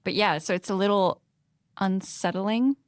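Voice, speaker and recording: A woman's voice: mid-range voice, measured pace, clean tone, no echo, studio quality. A woman hosting a podcast, talking in a conversational, discursive and questioning way. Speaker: podcast host.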